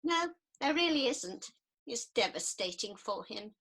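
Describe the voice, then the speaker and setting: An earnest, easily offended counsellor acted by a woman in a radio comedy. Her voice is high and extremely animated, swooping up and down with prim conviction. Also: a little slow, breathy edge, heavily acted. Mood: sorrowful. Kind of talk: radio play acting.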